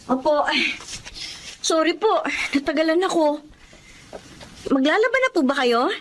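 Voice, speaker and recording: A woman acts out a respectful young daughter in a radio drama, her high, bright voice polite and quick to alarm. Faint noise sits under the recording. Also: lively intonation. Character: dutiful young adult daughter, polite and anxious. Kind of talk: Filipino radio-style drama acting.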